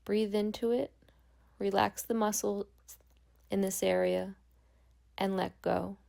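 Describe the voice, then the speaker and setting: A woman guiding a meditation as a yoga nidra instructor, speaking calmly and gently with long pauses. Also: mid-range voice, gravelly. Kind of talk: guided meditation.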